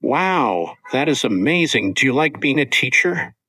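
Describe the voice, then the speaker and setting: A man co-hosting an English-learning podcast, speaking in clear, simple scripted sentences. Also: mid-range voice, big pitch swings, unhurried, pushed and bright, no echo. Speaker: podcast co-host.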